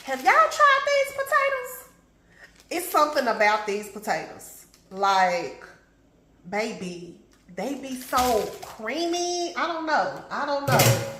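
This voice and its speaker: Slow-talking congested woman vlogger. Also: sits high, big pitch swings, sharp tone, reverberant, slight background noise. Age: middle-aged. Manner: chatty, addresses viewers as y'all and baby. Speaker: lifestyle vlogger. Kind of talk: casual chat while cooking. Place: home kitchen.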